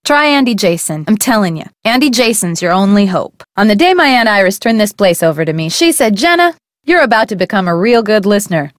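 A woman's voice: mid-range voice, sing-song, a little fast, no room sound, lightly acted. Gossipy small-town cafe owner, folksy and opinionated, a woman voicing her for a game; dry studio take. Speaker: game character. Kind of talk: video game voice acting.

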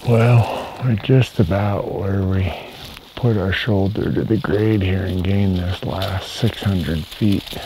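A man's voice: low voice, steady tone, slow, mellow, slight background noise, rough recording. A man in his fifties hosting a hunting trip, chatting wryly on a snowy mountain trail and dropping to a whisper near game. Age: 50s. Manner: easygoing, wry, drops to a whisper near game. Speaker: hunting show host. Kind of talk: casual trail talk on a hunt. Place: snowy mountain forest outdoors.

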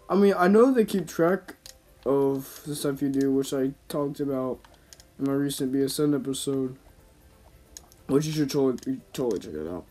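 A man's voice: a little high, dark tone. A man giving casual, rambling gameplay commentary in slangy, informal speech, as a gaming YouTuber. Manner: slangy, informal, talking to himself and viewers.

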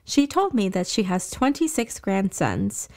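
A woman's voice: mid-range voice, big pitch swings, measured pace, darkish tone. A woman reading scripted narration as the host and storyteller of a fiction podcast.

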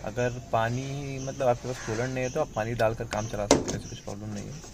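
A man walks viewers through car checks in a plain, explanatory tone, calling them friends. He speaks at a mid pitch, recorded on a handheld phone outdoors beside a car, with a faint hiss of open-air noise behind him. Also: steady tone, quick pace, creaky. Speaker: car vlogger. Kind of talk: how-to car maintenance walkthrough.

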